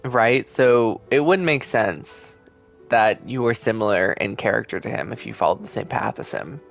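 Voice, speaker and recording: A man giving casual gaming commentary, musing as if thinking out loud. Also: on the low side, expressive, normal speed, vocal fry. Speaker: gaming streamer. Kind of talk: casual commentary over a game. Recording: game music behind the voice.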